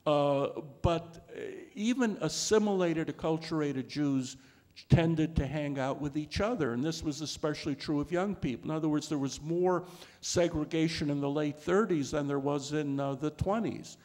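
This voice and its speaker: A man in his sixties answers questions on a lecture hall stage, speaking into a handheld mic. His voice sits a little high for a man. He talks thoughtfully, circling back with many restarts and "uh"s. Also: moderate pace, a little mellow. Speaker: panelist. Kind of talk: scholarly panel talk.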